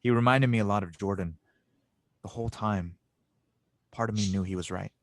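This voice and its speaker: A man's voice: on the low side, normal speed, no echo, close to an everyday voice. A man playing a determined, earnest young engineer hero in an audio drama read. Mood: reflective.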